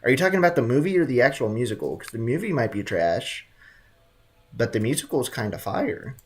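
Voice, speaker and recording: A man's voice: mid-range voice, big pitch swings, fairly quick. A man chatting casually and jokingly with viewers as he streams a game, using plenty of slang. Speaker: live streamer. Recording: game music behind the voice. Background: game music.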